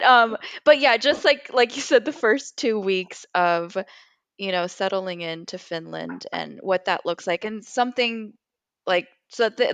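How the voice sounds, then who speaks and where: An American woman hosts a podcast as a casual chat. Her voice sits at a middling pitch and is recorded dry, with very little room sound. She talks conversationally, as to someone she knows. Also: creaky, fairly bright tone, no echo. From California, USA. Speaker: podcast co-host.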